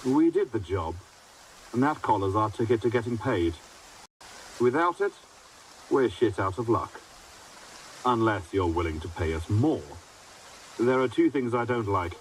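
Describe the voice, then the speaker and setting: Low-voiced, wary mercenary leader. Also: male voice, recorded from a distance, slight background noise, low-quality recording. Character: wary, pragmatic male mercenary leader, quietly threatening. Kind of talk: video game voice acting.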